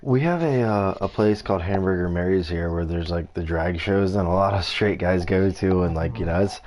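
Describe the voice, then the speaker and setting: A man from the United States chats over voice chat during a team game. His voice sits a little low, and his talk is halting and casual, full of restarts. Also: a little mellow. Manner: halting, many restarts and fillers. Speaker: streamer playing an online team game. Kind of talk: casual voice chat while gaming.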